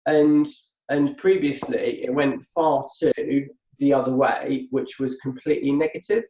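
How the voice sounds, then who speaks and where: Podcast guy, thinks out loud, repeats himself, kinda gravelly, dull roomy sound. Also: mid-range voice, fairly even intonation, measured pace, a little hiss or hum. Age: adult with a past business. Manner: thoughtful, repeats words as he thinks aloud. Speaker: podcast co-host. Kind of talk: reflective conversational explanation. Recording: muffled echoey room.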